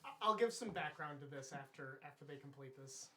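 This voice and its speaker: A woman's voice: low voice, lively intonation, brisk, fairly bright tone. A woman acting as game master, casually narrating a live tabletop game and putting questions to the players.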